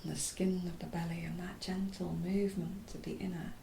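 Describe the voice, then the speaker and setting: A woman leads a guided body relaxation in a mellow voice with a gravelly creak. She speaks softly and soothingly, leaving pauses mid-phrase and letting endings go breathy. The room is echoey. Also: little pitch movement. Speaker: yoga nidra teacher. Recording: roomy echo, faint low rumbling noise.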